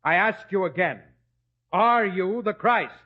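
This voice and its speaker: A scheming high priest in a biblical radio play. His voice sits fairly high and swings widely as he declaims and presses his case. The sound is a little dull and lo-fi. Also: expressive, a little slow. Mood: accusing, outraged. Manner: declamatory, formal, insistent. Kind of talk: biblical radio drama acting. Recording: dull, lo-fi radio drama recording.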